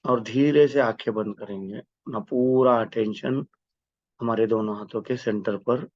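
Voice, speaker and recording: A man with a medium-pitched voice guides a meditation, giving instructions and prayers in a reverent manner. The recording is dry and somewhat dull, with little room sound. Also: steady tone, a little slow, darkish tone, no echo.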